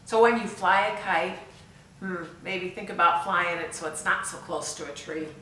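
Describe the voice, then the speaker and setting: Storytime reader: woman, bright clear-cut tone, expressive and chatty with kids, heard at a distance from the mic in a roomy space. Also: on the low side, normal speed, slight background noise. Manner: expressive, chatty asides and questions to young listeners. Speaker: volunteer storyteller. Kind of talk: read-aloud picture book storytime for children. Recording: echoey room, far from the mic.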